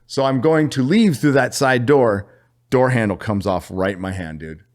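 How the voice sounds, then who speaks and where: A middle-aged man from California telling a story as a podcast guest, animated and profane, laughing as he goes. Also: mid-range voice, normal speed. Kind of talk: casual storytelling.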